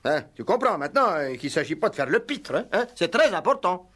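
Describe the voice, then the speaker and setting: High-pitched, excitable village police sergeant. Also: male voice, brisk, full character voice. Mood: self-important, flustered. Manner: obsequious, pompous, stammering, excitable. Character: eager, self-important, flustered village police sergeant, a man. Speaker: radio actor. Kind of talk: 1960s French radio play acting. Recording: vintage 1960s radio recording.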